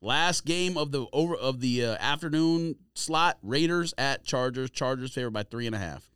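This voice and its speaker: A man's voice: mid-range voice, moderate pace, fairly bright tone, clear, resonant tone, no echo, studio quality. An American man co-hosting a sports podcast, giving blunt, opinionated picks in casual, slangy talk.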